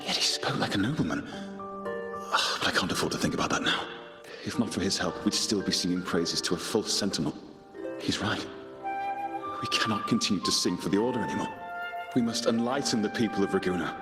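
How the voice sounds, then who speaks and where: A man playing an earnest, idealistic poet turned rebel knight in a video game, solemn and deliberate, in echoing reverb. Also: low-pitched, music in the background, close to an everyday voice. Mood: resolute. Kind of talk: scripted video game dialogue.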